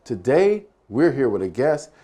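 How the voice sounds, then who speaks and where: A man's voice: mid-range voice, very animated, measured pace, dark tone. A man hosting a podcast interview, asking questions and encouraging his guest with frequent affirming murmurs. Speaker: podcast host.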